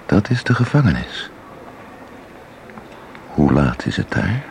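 Radio drama: a hypnotic, evocative, commanding guide; unhurried and creaky, on a noisy recording. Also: male voice, low voice, very animated, a little mellow, heavily acted. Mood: brooding, evocative. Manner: slow, deliberate, instructing, evocative. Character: commanding male guide, hypnotically luring someone into a painting. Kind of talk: radio play acting.

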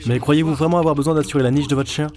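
A man's voice: on the high side, steady tone, rapid-fire, darkish tone, natural voice. A man playing a disillusioned but principled insurance adviser and gamer, world-weary and plain-spoken, in an audio drama. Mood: exasperated. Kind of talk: scripted audio-drama acting and narration.

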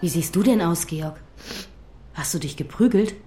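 A woman playing a middle-aged schoolteacher in a radio drama, patient but official, measured and formal. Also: on the low side, expressive, very noisy, lightly acted. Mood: concerned.

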